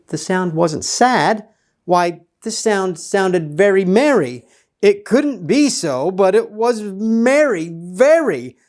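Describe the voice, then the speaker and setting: A man reads a storybook to children in a theatrical, emphatic way. His pitch swings widely and his pacing is slow and deliberate. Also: a little mellow. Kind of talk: animated children's storybook reading.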